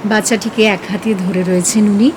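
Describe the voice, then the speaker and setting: A woman narrating a scripted audio story in an expressive, emotive, dramatic way. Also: mid-range voice, brisk, slight background noise.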